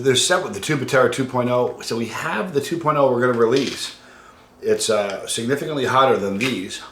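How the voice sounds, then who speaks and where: A man casually hosting a livestream and reading out viewer comments, halting and conversational, trailing off quietly at the ends of phrases. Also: mid-range voice, a little hiss or hum. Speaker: host of a hot sauce challenge channel. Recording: roomy, echoey room sound.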